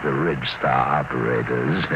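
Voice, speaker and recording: An actor playing a fussy, admiring employment agent in an old radio drama, effusive and officious. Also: male voice, rumbling low, unhurried. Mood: awed, gossipy. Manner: effusive, officious, repeats words for emphasis. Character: fussy, admiring male employment agent, deferential to his boss. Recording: noisy, muffled vintage radio recording.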